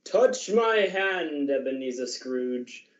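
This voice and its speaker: Slow, echoing, jolly giant spirit, acted by a man. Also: medium pitch, expressive. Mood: solemn, kindly. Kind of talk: amateur radio drama acting. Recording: roomy, echoing, dull lo-fi recording.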